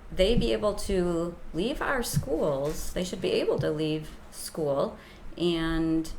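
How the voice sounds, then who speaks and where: A woman teacher with a soft voice, a guest in an interview, explaining hesitantly with many ums and restarts. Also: lowish voice, animated, slow pace, vocal fry, slight background noise, rough recording.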